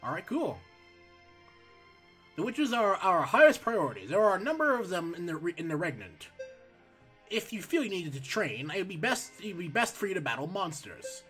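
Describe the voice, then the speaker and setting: A man hosting a let's play, reading game text aloud and chatting casually while his voice is going hoarse. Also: sits high, normal speed, soft background music. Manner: reads on-screen text aloud, relaxed asides. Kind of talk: casual gameplay commentary.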